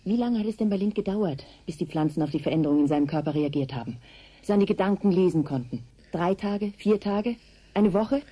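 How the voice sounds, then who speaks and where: Urgent, husky woman researcher. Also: on the low side, lively intonation, brisk, dark tone. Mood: dark foreboding. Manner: urgent, brooding, insistent. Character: grave, alarmed woman researcher warning of vengeful plants. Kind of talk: 1980s German radio drama acting.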